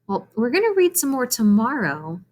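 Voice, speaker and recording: Animated woman reading aloud to children. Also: on the high side, normal speed. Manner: animated, addressing young listeners directly. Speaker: read-aloud storyteller for children. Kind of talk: expressive children's read-aloud.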